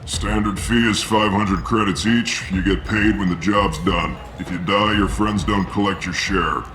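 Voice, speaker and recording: An actor playing a gruff, businesslike mercenary recruiter in a video game, blunt, unimpressed and matter-of-fact. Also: male voice, deep voice, steady tone, a little slow, in a large hall, slight background noise. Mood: brisk, businesslike. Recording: loud music behind the voice. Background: loud music.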